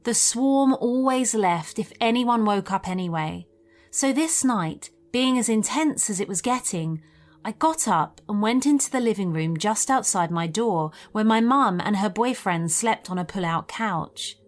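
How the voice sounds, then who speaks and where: A woman narrates a creepy first-person tale in a mid-pitched voice. She reads from a script as a storyteller would, recounting events one by one. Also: animated, a little slow. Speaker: narrator of listener-submitted scary stories.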